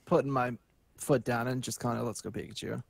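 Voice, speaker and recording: A man's voice: mid-range voice, steady tone, quick pace, creaky, no echo. A man chatting casually as a gaming show panelist, giving blunt, playful opinions. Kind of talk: casual chat, trailer reaction. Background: prominent music behind the voice.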